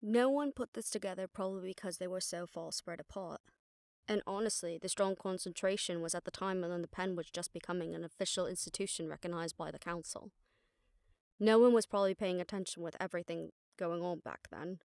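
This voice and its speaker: A woman playing an exasperated, sharp-tongued witch detective in an audio roleplay, talking casually and wryly to a partner. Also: on the low side, a little fast, no echo, studio quality, close to an everyday voice. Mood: focused, explaining. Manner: casual, wry, mildly swearing, explanatory. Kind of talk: conversational audio roleplay acting.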